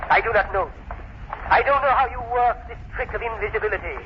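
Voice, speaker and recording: An actor playing a vengeful, gloating mad professor with a sneering, theatrical manner in an old radio drama. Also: male voice, high voice, fairly quick, close to an everyday voice. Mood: defiant. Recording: muffled, noisy old radio broadcast recording.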